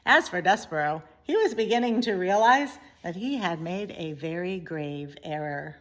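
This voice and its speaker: A woman reading a children's story aloud in an expressive, deliberate way with dramatic pauses. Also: on the low side. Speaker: teacher reading a book aloud.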